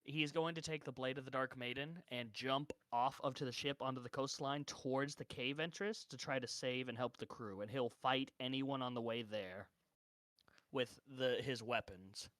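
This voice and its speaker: A man's voice: medium pitch, normal speed, a bit bright, no echo. A man casually improvising narration as a player in a tabletop roleplaying game.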